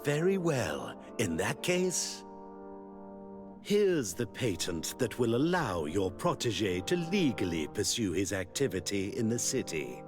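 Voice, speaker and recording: A man playing an officious, self-important government minister in a video game, deliberate and smoothly obsequious. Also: on the low side, very animated, over music. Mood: obliging. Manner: pompous, bureaucratic, deliberate. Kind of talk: video game dialogue acting.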